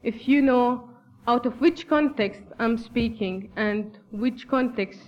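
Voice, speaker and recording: A woman from Bethlehem whose first language is Arabic, speaking English with an Arabic accent, giving an earnest, measured formal address at a church gathering. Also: on the high side, muffled recording. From Palestine, Bethlehem.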